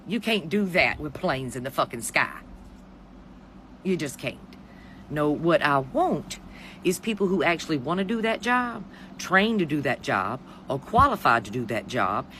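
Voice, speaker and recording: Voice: mid-range voice, a bit bright, a little hiss or hum. A middle-aged American commentator ranting informally in a sassy, exasperated, profane way, addressing the listener with pet names. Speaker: commentator giving opinions on the news. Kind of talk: informal opinionated rant to camera.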